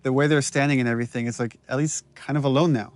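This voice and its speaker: A man's voice: mid-range voice, fairly even intonation, fast talker. A man casually explaining characters and scenes from a video game as a commentator. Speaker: commentator on a game's cutscenes.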